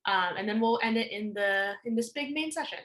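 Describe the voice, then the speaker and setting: A woman's voice: a little high, animated, a little fast, fairly bright tone. Chatty young woman hosting a video call. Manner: chatty, apologetic, a bit scattered. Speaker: lead organizer and event host. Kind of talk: casual event hosting.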